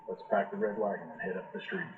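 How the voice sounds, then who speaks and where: A man reading a rhyming children's picture book aloud in a measured, sing-song storytelling style. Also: sits low, steady tone. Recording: roomy echo, thin phone-like sound.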